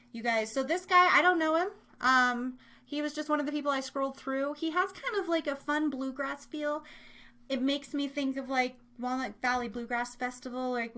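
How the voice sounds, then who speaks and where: A woman with a high voice and an American accent hosts a casual livestream. She rambles informally to her listeners, dropping playful slang as she goes. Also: pushed and bright. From Kansas, United States. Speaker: livestream and podcast host. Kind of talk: casual live chat with listeners. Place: her room at home.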